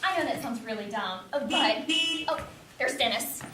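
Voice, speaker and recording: A woman's voice: a little high, lively intonation, moderate pace, lightly acted. A woman on stage playing an inquisitive, chatty, earnest young woman, recorded in an echoey space. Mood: chatty, wistful. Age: young adult. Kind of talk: amateur stage play dialogue and monologue. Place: indoor stage performance, recorded from off. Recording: echoey distant stage recording, loud noise.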